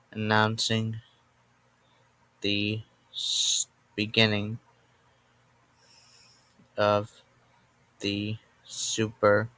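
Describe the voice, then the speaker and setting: A man reading a scripted emergency alert announcement as narrator, in a halting way that breaks sentences into short groups of words. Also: lowish voice, a bit bright, rough recording.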